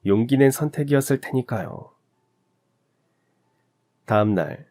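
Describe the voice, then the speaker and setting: A man calmly narrating a family story as the husband, in a scripted, sympathetic storytelling manner. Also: on the low side.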